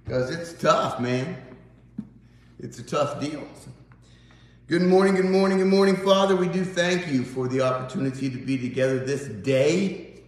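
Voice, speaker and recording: A man's voice: a little high, unhurried. A man in his fifties with a Southern accent, a pastor giving an informal, folksy morning devotional to a phone camera in a small church sanctuary. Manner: folksy, conversational, animated. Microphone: phone camera on a tripod, close up. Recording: very echoey church room.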